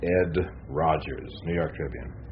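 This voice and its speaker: Polite newspaperman, very low voice, few words, radio drama, dulled old recording. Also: unhurried, muffled recording, noisy background. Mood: dry, polite. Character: laconic, polite visiting American male newspaperman.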